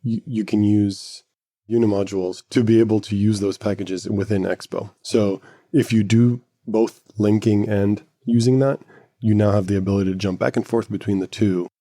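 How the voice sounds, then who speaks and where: A man's voice: fairly low pitch, steady tone, normal speed, dark tone. A man whose first language is Arabic, co-hosting a casual tech podcast and explaining things step by step with filler words. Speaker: podcast co-host.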